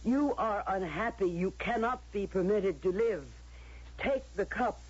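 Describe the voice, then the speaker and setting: An actress playing a stern, ceremonious council leader in a radio drama, speaking in formal, measured pronouncements with calm mercilessness. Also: female voice, medium pitch, slightly rough. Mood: cold, insistent. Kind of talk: old-time radio drama acting. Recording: dull lo-fi radio drama recording.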